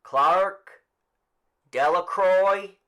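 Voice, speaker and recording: Man acting a male village official, formal, businesslike, loud, high-pitched calling, forceful, audiobook. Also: drawn-out words, full character voice. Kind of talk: audiobook character voice.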